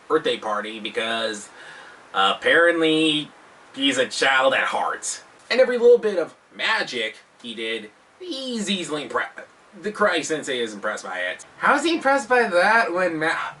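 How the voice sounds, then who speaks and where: A man hosting a video countdown, delivering scripted commentary with self-deprecating, jokey asides in an animated manner. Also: a little high, far from the mic. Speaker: host of a video countdown show.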